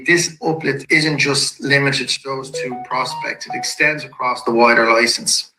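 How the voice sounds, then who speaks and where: A man with a croaky voice from a head cold, giving a measured presentation to shareholders. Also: medium pitch, steady tone, pushed and bright, reverberant, faint music behind, poor audio. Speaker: company executive presenting to shareholders. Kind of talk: investor presentation.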